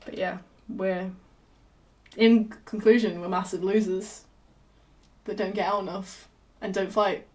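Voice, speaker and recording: A woman chatting as a podcast guest, telling stories with lively acted-out dialogue. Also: mid-range voice, unhurried, pauses often, slight background noise, poor audio. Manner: animated storyteller who acts out quoted dialogue. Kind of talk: casual chat.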